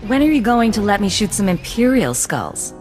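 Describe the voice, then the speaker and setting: An actress playing a composed imperial spy with a dry, level delivery. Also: female voice, medium pitch, big pitch swings, moderate pace, a little mellow, soft background music, lightly acted. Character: cool female imperial spy.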